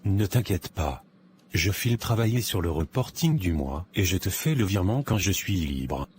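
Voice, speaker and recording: Guy voicing vain broke young office dude in a cartoon, low voice, some noise in the background. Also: lively intonation, normal speed. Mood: casual, evasive. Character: vain, broke young office man living beyond his means. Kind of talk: animated moral tale dialogue.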